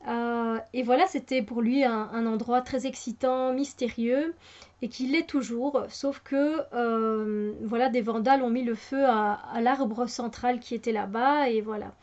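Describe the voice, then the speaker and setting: A woman's voice: on the high side, fairly even intonation, unhurried, a little mellow. A woman reviewing a tarot deck in casual talk, hesitant with many fillers and restarts, and enthusiastic. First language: French. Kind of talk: casual spoken product review. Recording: muffled lo-fi home recording.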